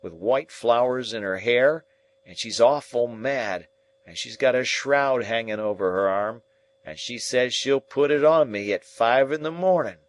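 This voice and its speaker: A man voicing a dying man raving in terror at a ghost, shrill, frantic and pleading. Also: a little slow, lightly acted. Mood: haunted.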